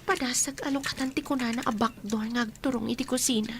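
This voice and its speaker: A woman's voice: on the high side, steady tone, quick pace, noisy background, lightly acted. A woman acting a humble, easily awed young mother in an Ilocano radio drama. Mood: meek, reflective.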